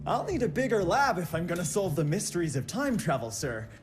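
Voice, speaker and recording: An actress playing an eager, nerdy, flustered woman engineer in a video game, quick-witted and awkward. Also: fairly low pitch, sing-song. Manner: quick-witted, awkward, halting then rushing. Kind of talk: video game cutscene acting. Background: music.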